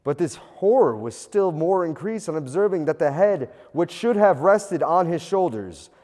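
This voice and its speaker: A man reading a classic tale as a storyteller, with a theatrical, expressive, old-fashioned literary delivery. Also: a little high, normal speed. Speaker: storyteller, audiobook reader. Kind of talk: dramatic scripted narration of a classic ghost tale. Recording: long reverberant hall echo.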